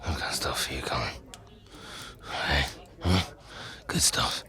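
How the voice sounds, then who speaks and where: An actor playing a gravely ill, stubborn fugitive in a dark fantasy audio drama, speaking in weak, breathy, halting phrases. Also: male voice, a bass voice, full character voice. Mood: uneasy, weary. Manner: halting, faint, mumbled phrases. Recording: clear noise, clicks.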